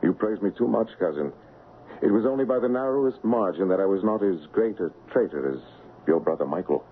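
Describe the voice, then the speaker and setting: An actor playing an honorable, lovesick stand-in king in a radio drama, speaking and narrating in an earnest, formal, measured way. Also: lowish voice, expressive. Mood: resigned, earnest. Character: lovesick honorable English royal stand-in, noble and troubled. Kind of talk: radio drama acting and first-person narration. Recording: muffled vintage radio broadcast, music behind.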